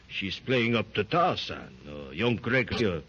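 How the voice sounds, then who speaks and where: An actor with a German accent playing a gruff, sneering German brute in a 1930s radio drama. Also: male voice, on the low side, big pitch swings, takes it slowly, a bit bright, exaggerated voice. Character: brutish German man with a whip. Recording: dull lo-fi 1930s radio recording with faint hiss.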